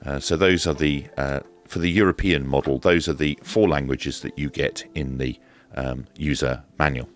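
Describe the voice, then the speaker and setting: A man's voice: rumbling low, fairly even intonation, normal speed. A British man giving casual, informal hands-on commentary as he presents a product review. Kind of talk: casual unboxing and demonstration commentary.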